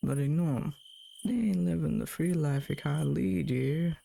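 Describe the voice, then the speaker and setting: An actor voicing a folksy, friendly drifter in a scripted audio monologue, with a familiar, chatty way of talking. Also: male voice, a little high, normal speed, creaky, warm tone, slight background noise. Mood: friendly, reassuring. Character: folksy, drawling friendly drifter man with unnatural features. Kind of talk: scripted character monologue to the listener.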